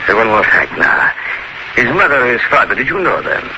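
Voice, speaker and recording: An actor playing a grave doctor who narrates a 1940s radio horror drama in a formal, measured, probing manner. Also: male voice, mid-range voice, sing-song. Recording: scratchy, muffled 1940s radio recording, heavy noise.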